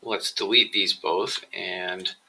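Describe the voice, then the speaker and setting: A man presenting a software tutorial, explaining each step in a measured, instructional screencast style. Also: sits low, steady tone, breathy edge, low-quality recording.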